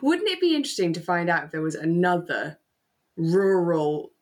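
Lower-pitched British woman co-hosting. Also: big pitch swings, moderate pace, a little mellow. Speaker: podcast co-host. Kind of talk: casual podcast chat. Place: remote call from home.

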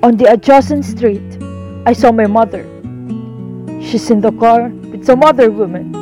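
A woman's voice: on the high side, normal speed, dark tone, close to an everyday voice. A woman playing a shaken schoolgirl daughter giving her testimony in an amateur radio drama. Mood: troubled. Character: shaken schoolgirl daughter recounting what she saw. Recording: guitar music behind the voice. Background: guitar music.

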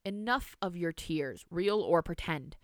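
A woman's voice: lowish voice, brisk, fairly bright tone, dry recording, clean studio sound, lightly acted. A woman voicing a stern old grandmother.

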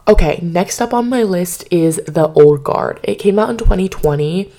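Female podcast host, low mellow voice, slightly hoarse; rambling casual chat into a home mic from her bed. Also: lively intonation, normal speed. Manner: chatty and rambling, many filler words. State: slightly hoarse, partly lost voice. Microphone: podcast microphone.

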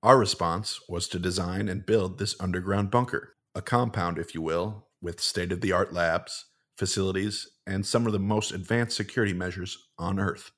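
A man playing a huge, deadpan, mock-dignified brawler sidekick in a doomsday bunker, in conversational comedic radio drama. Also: low-pitched, normal speed, few pauses. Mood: grave. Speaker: co-host of a comedic podcast drama.

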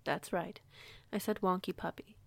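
A woman playing a composed, kindly werewolf pack alpha in an audio roleplay, speaking in a formal, measured, authoritative way. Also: on the low side, gravelly, no echo. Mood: composed, amused.

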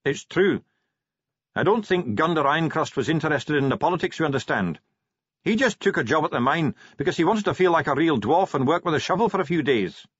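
A man putting on a soft-spoken young dwarf, polite and quick-witted, pitched well above an ordinary male voice with a lively, husky lilt. The recording is dry and a bit dull. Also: no room sound. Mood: earnest.

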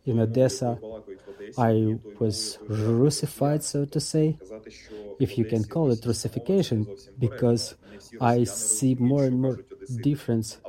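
Man, translated interview answers, measured delivery with restarts, mid-pitched, crowd chatter behind. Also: poor audio. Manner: measured, with restarts and repeated phrases.

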